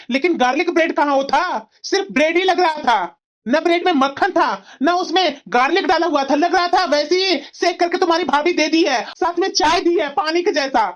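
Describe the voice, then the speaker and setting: A woman acts out a grumbling, entitled young son-in-law in a cartoon family drama. The voice is high for the part, pompous and full of complaint, on a dull lo-fi recording. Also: close to an everyday voice. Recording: dull, lo-fi sound.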